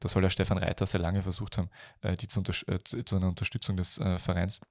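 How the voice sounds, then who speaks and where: Ein Mann mit österreichischem Akzent, der als Podcast-Moderator locker über Fußball plaudert und dabei stockend nach Worten sucht. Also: male voice, low-pitched, little pitch movement, creaky, no room sound, clean studio sound. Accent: Austrian. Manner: halting, searching for words, many hesitations. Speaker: podcast host. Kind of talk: casual football podcast chat.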